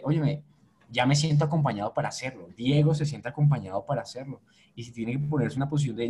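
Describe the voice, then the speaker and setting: A man answers interview questions in a reflective way, stopping now and then to hunt for the right word. His voice is dark and mellow at a middle pitch. A faint hum of noise sits behind him. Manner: reflective, searches for words. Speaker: agency owner, guest.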